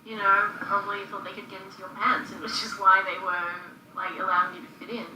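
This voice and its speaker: Bright, chatty woman, distant and echoey. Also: mid-range voice, little pitch movement, fairly quick, a little hiss or hum. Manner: chatty, swears freely, many fillers. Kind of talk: casual conversation.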